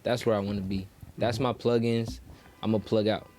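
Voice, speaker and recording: A man's voice: lowish voice, flat delivery, moderate pace, darkish tone, a little hiss or hum. A man chatting casually as a rapper guest on a podcast, slangy, swearing freely and promoting himself.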